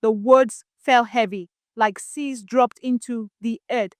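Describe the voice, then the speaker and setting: A woman narrating a scripted folktale as a storyteller, in a solemn, deliberate, dramatic manner. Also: fairly high pitch, fairly even intonation, no room sound, clean studio sound.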